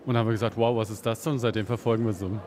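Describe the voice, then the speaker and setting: A man's voice: lowish voice, steady tone, rapid-fire, a crowd in the background. A man interviewed as a fan, telling his story casually.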